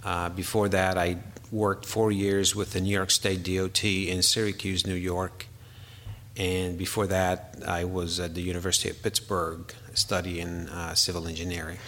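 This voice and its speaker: A man's voice: low-pitched, level tone, moderate pace, fairly bright tone, long reverb tail. A man in his fifties, originally from Syria, answering interview questions as a structural engineer, hesitant with many fillers.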